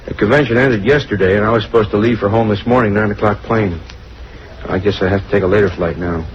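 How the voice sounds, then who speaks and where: A chatty, rueful male salesman played by a radio actor rambles quickly in a fairly low voice. He is hesitant and self-blaming, in old radio drama style. The recording sounds muffled. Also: fairly even intonation, background noise, close to an everyday voice. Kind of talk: 1940s-50s radio drama acting.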